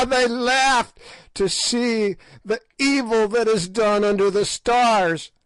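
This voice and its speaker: Wicked ancient witch, voiced by a man: shrill, mocking, dry close sound. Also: steady tone, takes it slowly, fairly bright tone, smooth, dry recording. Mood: cackling scorn. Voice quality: shrill, cackling. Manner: screeching, mocking. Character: ancient shrieking female witch, wicked and gloating. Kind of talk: audiobook character voice.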